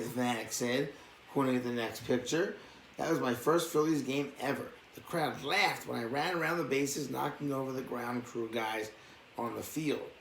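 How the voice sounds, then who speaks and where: A man reading a bedtime story aloud, dropping in casual asides as he goes. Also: mid-range voice. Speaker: storybook reader. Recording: echoey room with faint background noise.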